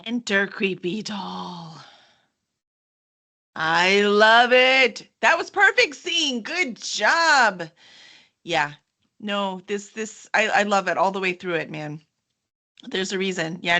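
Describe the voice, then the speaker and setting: A woman's voice: medium pitch, lively intonation, takes it slowly, bright, forceful tone. A woman hosting a casual promotional chat in an enthusiastic, informal way. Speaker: host, book publisher.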